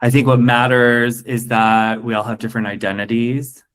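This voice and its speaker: A man from the United States with a mid-pitched voice, talking easily and thoughtfully on a podcast. He sounds friendly and asks his co-host questions. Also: little pitch movement, normal speed, fairly bright tone. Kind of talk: podcast conversation.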